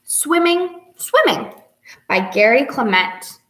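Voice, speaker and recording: A young woman with a fairly high voice hosts a read-aloud for children. She talks directly to kids in an expressive, sing-song manner, like a librarian at story time. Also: slow. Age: young adult. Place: small indoor room with whiteboard.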